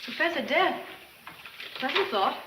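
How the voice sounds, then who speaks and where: An actress playing a young, wisecracking newspaper reporter with a flippant, perky manner in a 1940s film serial. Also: female voice, sits high, lively intonation, measured pace. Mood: breezy, teasing. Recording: old 1940s film soundtrack, faint noise.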